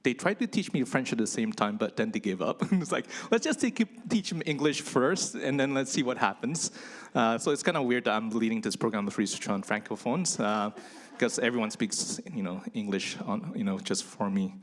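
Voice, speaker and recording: A man's voice: medium pitch, expressive, moderate pace. A man originally from Indonesia, whose first language was Indonesian, giving a chatty, self-deprecating conference talk with many fillers. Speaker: conference speaker, physician researcher. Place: conference hall.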